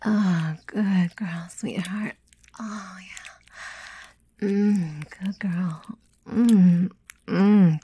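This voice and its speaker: A woman whispering intimately in an erotic audio style, breathy and sultry, with slow murmurs and moans. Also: on the low side, fairly even intonation, mellow, no room sound.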